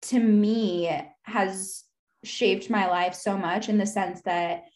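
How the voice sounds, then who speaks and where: A woman's voice: medium pitch, fairly even intonation, slow, darkish tone. A young woman from the United States, a podcast guest speaking candidly in a casual, reflective way, with lots of "like" fillers. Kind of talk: candid conversational interview.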